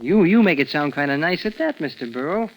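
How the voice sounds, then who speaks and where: A guarded American teenage diner hand from a 1940s radio play. His voice sits fairly high and moves around a lot, with slangy, casual phrasing. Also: lively intonation, brisk, rough recording. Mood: cautious, curious. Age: young adult. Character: wary seventeen-year-old American diner counterman, male. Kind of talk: 1940s radio drama acting.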